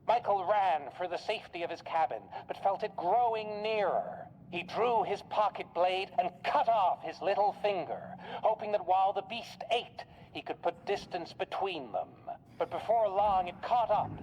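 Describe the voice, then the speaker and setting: An eerie radio storyteller from a horror game, performed by a woman, speaking at a middling pitch. The delivery is folksy and theatrical, leaning on dramatic pauses to spin macabre scripted tales. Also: a little mellow, highs cut off, a little hiss or hum, lightly acted.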